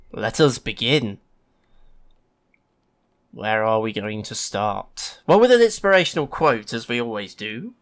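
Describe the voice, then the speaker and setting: A man's voice: medium pitch, a bit bright. An English man with a sniffly hay-fever nose gives casual, chatty, self-deprecating let's play commentary as a gaming host. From England.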